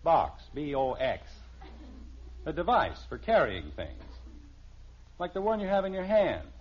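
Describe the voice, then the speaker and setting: Middle-aged American suburban father, dry sarcasm, clipped questions, swooping pitch, dull vintage radio sound. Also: wildly swooping pitch, unhurried, heavily acted. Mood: dryly sarcastic. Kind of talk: 1950s radio sitcom acting. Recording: dull, noisy old radio recording.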